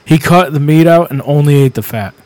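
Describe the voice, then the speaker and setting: Excitable male co-host, somewhat high pitch, curses a lot, casual. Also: a little fast, no echo. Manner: animated, swearing, laughing storyteller. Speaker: podcast co-host. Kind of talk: casual chat.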